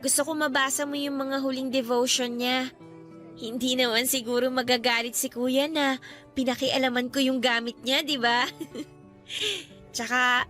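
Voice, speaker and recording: Sweet supportive little sister, filipina, really high bright voice, radio drama with music behind. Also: female voice. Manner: sweet, encouraging. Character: supportive, affectionate younger sister of a deceased young man.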